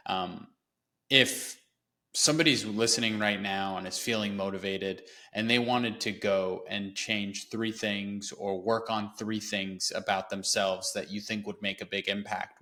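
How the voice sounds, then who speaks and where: Podcast host guy, kinda low voice, easygoing and encouraging. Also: bright, forceful tone. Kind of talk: podcast interview.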